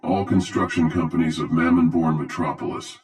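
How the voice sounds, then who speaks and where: A man's voice: very deep voice, fairly even intonation, a little mellow, faint music behind. A man playing a cold, pompous masked herald in an audio drama, making formal, grandiose announcements in a metallic voice over a television. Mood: coldly grandiose. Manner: formal, grandiose, measured proclamation. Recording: distant-sounding metallic television voice.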